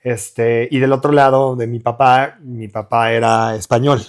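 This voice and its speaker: A man's voice: medium pitch, steady tone, measured pace. A middle-aged man from Mexico City with a Mexican accent, a guest musician chatting casually in an interview and rambling through restarts and fillers. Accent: Mexican Spanish.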